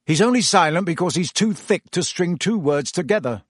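A man reading aloud plays a cheeky teenage schoolboy, raised in pitch and bouncing around lively. His breezy, offhand delivery sounds close and dry. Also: moderate pace, no echo. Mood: breezy, teasing. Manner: breezy, dismissive.

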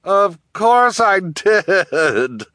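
Man playing a high-pitched, gloating cruel villainess. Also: very animated, bright, forceful tone, dry recording, clean studio sound, full character voice. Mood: smug, scornful. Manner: drawn-out, mocking, theatrical. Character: cruel, gloating, vain adult woman guardian, theatrical villain.

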